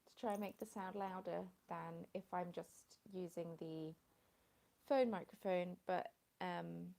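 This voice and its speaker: Gravelly woman chatting live. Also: lowish voice, fairly even intonation, unhurried, a little mellow. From England. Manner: rambling, chatty, greeting viewers by name. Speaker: craft live streamer. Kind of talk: casual live stream chat. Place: at home in the evening, dim light. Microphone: phone with a small plug-in mic.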